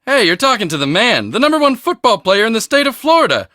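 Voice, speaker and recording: A man voicing a cocky, boastful teenage high school football star in a video game, with a swaggering, emphatic, self-important delivery. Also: big pitch swings, fast talker, sharp tone, no room sound, studio quality, full character voice. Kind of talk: video game character dialogue.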